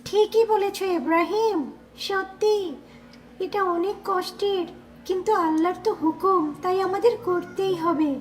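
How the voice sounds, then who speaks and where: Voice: very high voice, steady tone, a little slow. A performer playing a kind, supportive young cartoon boy, polite and soothing. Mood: friendly. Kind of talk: cartoon voice acting.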